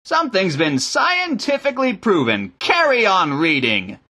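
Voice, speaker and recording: A man's voice: sits high, wildly swooping pitch, unhurried, bright, forceful tone, breathy edge, full character voice. A man voicing an irate, scornful bishop in an audiobook, snarling and barking orders and sarcastic questions. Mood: scornful tirade. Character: irate, bullying male bishop, loud and scornful.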